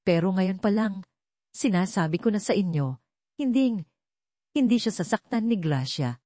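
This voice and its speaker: A woman voicing a gentle, protective foster father in an audio drama, speaking in an earnest, measured way. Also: medium pitch, expressive, no room sound, close to an everyday voice. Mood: guarded. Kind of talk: audio drama storybook reading.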